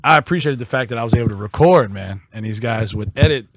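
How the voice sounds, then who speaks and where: A man's voice: medium pitch, fast, a bit bright, dry recording. A man from Detroit with an American accent, chatting casually as a podcast host in slangy, profane talk. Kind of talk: casual chat. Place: podcast studio.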